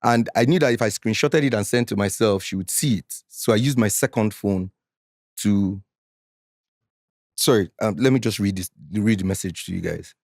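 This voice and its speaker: A man in his forties with a Nigerian accent, a guest in a casual interview who talks in an animated, defensive way and slips into Pidgin when he gets heated. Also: fairly low pitch, moderate pace, dry recording, clean studio sound. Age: 40s.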